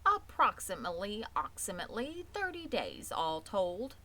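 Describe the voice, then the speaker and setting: A woman's voice: mid-range voice, huge pitch swings, normal speed, pushed and bright, heavily acted. Woman voicing a fussy, word-repeating storybook mother goose. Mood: chatty, confiding. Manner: repeats syllables of words, matter-of-fact. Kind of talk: children's storybook reading.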